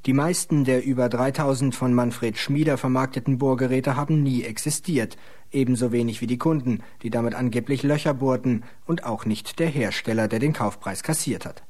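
A man's voice: medium pitch, a little fast, a little mellow. A man narrating a scripted radio documentary in a pointed, explanatory manner.

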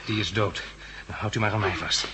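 An actor playing a shaken but helpful hospital patient in a 1960s radio drama, hesitant and urgent. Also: male voice, lowish voice, steady tone, brisk, close to an everyday voice. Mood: anxious, steadying. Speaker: lead actor and narrator. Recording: 1969 radio recording, faint noise.

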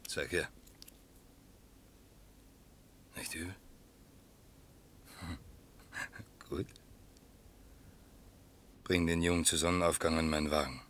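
An actor playing a cynical, greedy army major in a German radio play, gruffly jovial, brusque and condescending. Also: male voice, rumbling low, fairly even intonation, takes it slowly, long pauses, fairly bright tone, slight background noise, exaggerated voice.

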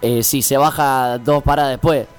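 A man's voice: mid-range voice, steady tone, normal speed, loud music behind the voice. A man with an Argentine Rioplatense accent, chatting colloquially and giving his opinions as a panelist on a comedic radio show. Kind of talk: casual comedic radio chat.

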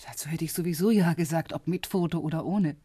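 An actress playing a cool, businesslike police intelligence officer in a radio drama, composed and teasing, with a husky voice. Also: female voice, deep voice, fast, mellow, dry recording, studio quality. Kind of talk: naturalistic radio drama dialogue.

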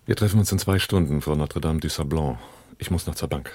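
Low-voiced, cool-headed male smuggler. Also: quick pace, mellow, no echo. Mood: businesslike, guarded. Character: cool, cautious male arms smuggler on the run, calculating. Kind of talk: German radio drama dialogue and first-person narration.

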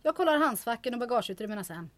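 An actress playing a bold, breezy woman schemer in a comic audio drama, brisk, self-assured and nonchalant. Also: a little high, very animated, a bit bright, dry recording. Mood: brisk, scheming. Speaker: actor in audio drama serial. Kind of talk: comic radio-play acting.

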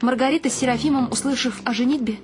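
An actress playing an expressive middle-aged mother who tells the story of her family in a radio play. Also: high-pitched, fast, lightly acted. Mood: wry narrating. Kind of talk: radio play narration and acting. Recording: music under the voice.

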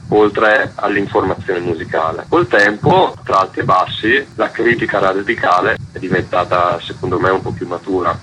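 A man's voice: low voice, background noise. A man in his thirties giving an explanatory spoken interview. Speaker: interviewed zine and label founder.

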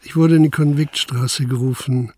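An actor playing a dutiful, deferential Prussian police officer around 1900 in a radio drama, speaking in a measured, formal way. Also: male voice, medium pitch, steady tone, darkish tone, slightly rough, close to an everyday voice. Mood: sombre, reflective. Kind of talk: audio drama narration and dialogue.

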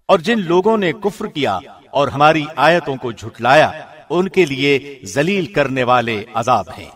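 A man reads a scripture translation from a script like a radio presenter, formal and measured, with clear phrasing. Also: medium pitch, big pitch swings, a bit bright.

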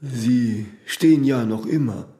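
A man's voice: mid-range voice, slow, mellow, natural voice. An actor playing a cool, immaculate, aloof man in a radio drama, speaking with formal detachment and great deliberation. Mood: detached, courteous.

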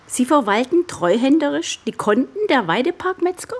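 A woman's voice: sits high, very animated, moderate pace. A woman acting a direct, sceptical state prosecutor in a radio drama. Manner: direct, needling.

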